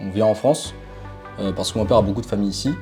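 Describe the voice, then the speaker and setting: Young Portuguese-born man, mellow voice. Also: lowish voice, fast, soft background music. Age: young adult. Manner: relaxed, animated storytelling with frequent fillers. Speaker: interview guest. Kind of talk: casual conversational storytelling.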